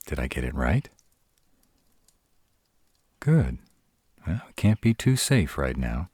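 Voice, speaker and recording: Very deep, husky male were-dragon lover, acted by a man. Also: darkish tone, dry recording, heavily acted. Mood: curious, impressed. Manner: tsundere, teasing yet caring, hesitant pauses. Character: gruff, protective, tenderly sarcastic male were-dragon lover. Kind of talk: romantic fantasy audio roleplay, speaking to the listener.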